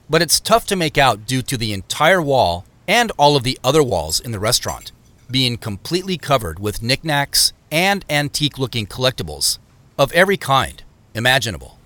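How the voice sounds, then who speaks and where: A first-person storyteller in an audio drama. His bright voice is lively in pitch and recorded very dry and close. The scripted narration is wordy and wry. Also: fairly quick, no echo.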